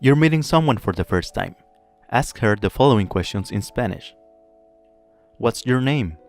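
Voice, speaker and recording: A man teaching a Spanish lesson, modeling phrases clearly and slowly for the listener to repeat. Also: medium pitch, expressive, faint music behind. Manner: clear, slow model pronunciation with pauses to repeat. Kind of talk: language lesson with drills.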